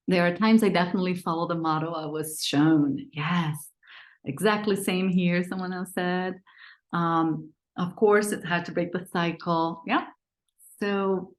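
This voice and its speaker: A woman whose first language is Portuguese leads a live online parenting session. Her voice sits a little low, and her delivery is earnest and conversational. She reads audience chat replies aloud as she goes. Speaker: parenting coach.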